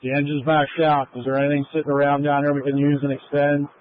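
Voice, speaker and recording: A man's voice, very flat in pitch, comes over a tinny two-way radio. He commands a fire scene, reporting and directing in matter-of-fact emergency radio language. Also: quick pace. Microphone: radio handset.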